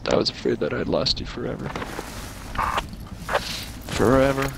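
A man chatting and joking with his squad during an online shooter match, getting excited when fighting starts. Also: mid-range voice, moderate pace, creaky, heavy background noise. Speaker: gamer on squad voice chat. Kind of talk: casual game chat. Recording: loud gunfire and explosions behind. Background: game battle sounds.

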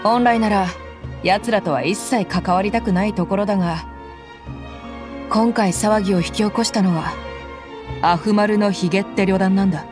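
A woman voicing a tough young mercenary who talks in a rough, casual way in a game cutscene. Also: mid-range voice, normal speed, pauses often, music in the background, natural voice. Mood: easygoing. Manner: rough, casual, gruff slang. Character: tough young female mercenary, blunt, easygoing. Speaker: game character.

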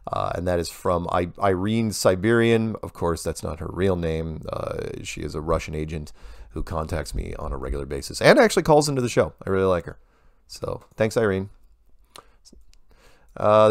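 A man with a very low, gravelly voice hosts a casual show. He reads listener mail and comments in a conversational way with wry asides. The sound is close and dry. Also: no room sound. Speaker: host of an email Q&A show. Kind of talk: casual commentary, reading listener emails aloud.

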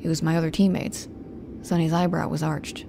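A woman acts out a protective young huntress narrating her own story in an audio drama. Her voice is fairly low and quite soft-edged, with a brooding, stern restraint. Some faint noise lies underneath. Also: level tone, a little fast, creaky. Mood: reflective. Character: guarded, protective young woman with animal tail.

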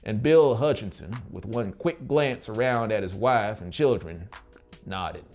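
Animated male gothic horror storyteller. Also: on the low side, unhurried. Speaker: audiobook narrator and musical performer. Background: music under the voice.

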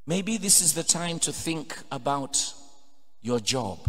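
A man's voice: fairly high pitch, lively intonation, takes it slowly. Man, Ugandan accent, priest, emphatic homily, pulpit mic, long cathedral reverb. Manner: emphatic preaching, building to loud exhortations. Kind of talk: homily mixing English and Luganda. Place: large cathedral, indoors at a pulpit. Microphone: pulpit microphone on a stand.

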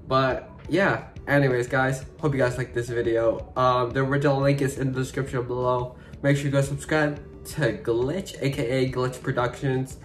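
A young man speaks into a headset mic in a small bedroom, sounding a bit congested. He talks in a halting, casual way, full of ums and restarts, at an everyday mid pitch. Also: flat delivery, faint music behind. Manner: hesitant, many fillers. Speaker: reaction video host. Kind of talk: casual reaction commentary.

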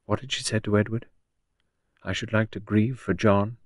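A man's voice: on the low side, fairly even intonation, normal speed, no echo, studio quality, close to an everyday voice. A man voicing an earnest, kindly woman in an audiobook, polite and emotional in manner. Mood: grieving, subdued. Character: earnest, kindly, grieving woman, friend of the late doctor. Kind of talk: audiobook character dialogue.

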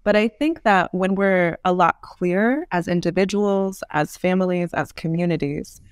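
A woman's voice: lowish voice, moderate pace, smooth, no echo, clean studio sound. Woman explaining ideas, closely and dryly recorded. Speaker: podcast guest, author and urban design expert. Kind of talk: explanatory interview talk.

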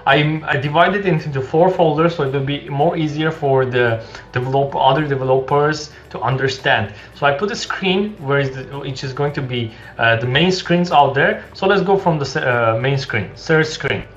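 A young man walking through a coding project for a screen-share tutorial, halting and restarting often as he explains, recorded indoors at his desk. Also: on the high side, a bit bright. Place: small room indoors. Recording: dull lo-fi sound with faint music.

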